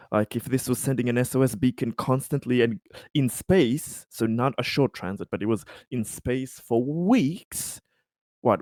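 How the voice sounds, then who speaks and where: A man roleplaying in a tabletop game discussion, speaking in a hesitant, halting way. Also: mid-range voice, a little mellow, no room sound, clean studio sound.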